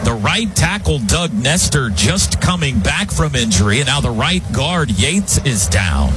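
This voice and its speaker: A man calling a live football game on radio as play-by-play announcer, his voice climbing with excitement on big plays. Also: medium pitch, measured pace, background noise.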